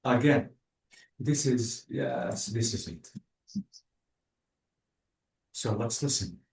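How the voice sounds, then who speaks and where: A man teaching an English class online, speaking slowly and patiently and praising the student often. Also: mid-range voice, gravelly, a little mellow. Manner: slow, patient, spells out word endings, praises with "bravo". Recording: echoey, distant room sound.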